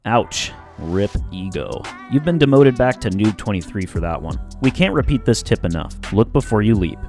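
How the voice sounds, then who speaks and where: A man with a fairly low voice narrates an instructional video from a script. His delivery is informal and jokey, full of slang. Soft music plays behind him. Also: very animated, normal speed. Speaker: aviation explainer video narrator. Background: background music.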